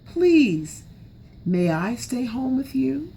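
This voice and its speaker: A woman's voice: high voice, very animated, very slow, creaky, mellow, slight background noise, voice pushed up, exaggerated voice. A woman reading a storybook, voicing an anxious, curious young boy raccoon in a plaintive, childlike way. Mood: pleading, upset.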